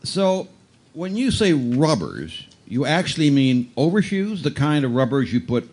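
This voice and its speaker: Man playing deadpan police investigator, lively pitch. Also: unhurried. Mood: deadpan, questioning. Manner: stumbles over words, deadpan. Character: earnest deadpan male bus police investigator. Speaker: amateur performer in a live radio play. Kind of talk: police-procedural radio drama parody narration. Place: live stage performance aboard a cruise ship. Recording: noisy live room recording.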